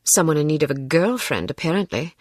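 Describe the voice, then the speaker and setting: A cool young spy in a video game. Her voice is low and deadpan, sardonic, recorded close and dry. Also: brisk, no room sound. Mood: dry sarcasm. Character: cool, sardonic young female secret agent.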